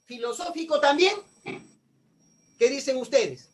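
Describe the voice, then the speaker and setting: High-voiced man teaching an online class. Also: unhurried, recorded from a distance. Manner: asks the class questions and answers them himself. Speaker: history teacher.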